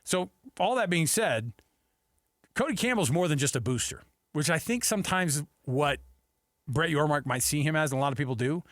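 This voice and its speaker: A man's voice: a little high, animated, normal speed, fairly bright tone, no room sound, studio quality. A man hosting sports talk radio, giving opinions emphatically and repeating his points for weight. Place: radio studio.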